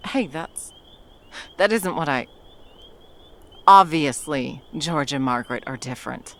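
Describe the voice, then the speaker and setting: A woman's voice: low-pitched, animated, a little slow. A woman voicing a centuries-old female monster hunter in an ASMR roleplay. She talks bluntly and swears a lot, then drops into tender murmurs. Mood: remorseful.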